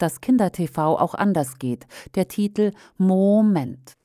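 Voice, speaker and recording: A woman reading aloud a critical opinion commentary in a pointed, measured way. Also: lowish voice, warm tone, no echo, studio quality.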